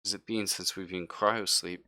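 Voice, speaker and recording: A man's voice: low-pitched, fairly even intonation, normal speed, sharp tone, no echo, clean studio sound, full character voice. A man playing a weakened, disoriented ship's medic in a tabletop game, anxious and apologetic, stammering through his questions. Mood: confused, anxious.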